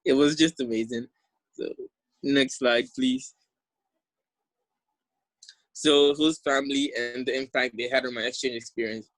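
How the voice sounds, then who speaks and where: A young man from Ghana with a Ghanaian accent softened toward American, giving a friendly, enthusiastic talk over slides. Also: mid-range voice, steady tone, a little slow, pauses often, no echo, low-quality recording. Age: young adult. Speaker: exchange alumnus presenter. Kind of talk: casual presentation over slides.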